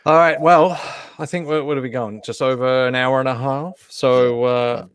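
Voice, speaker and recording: A man's voice: medium pitch, steady tone, normal speed, smooth, dry recording, clean studio sound. A man hosting a casual live group chat, speaking informally. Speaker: host of a live stream table read.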